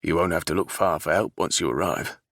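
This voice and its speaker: A man voicing a gruff, wary older ship captain who growls his words. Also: low-pitched, a little fast, fairly bright tone, no room sound, clean studio sound, full character voice.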